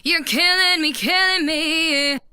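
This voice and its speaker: A woman with an extremely high, piercingly bright voice delivers short pop vocal hooks. Her phrasing is slow and drawn out, like a vocal sample. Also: steady tone, no echo, faint music behind.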